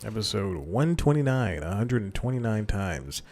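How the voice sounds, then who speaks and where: A man's voice: lowish voice, big pitch swings, moderate pace, a little mellow, slight background noise. A young man hosting a casual solo podcast, rambling with many fillers and self-corrections into a desk microphone. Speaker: podcast host.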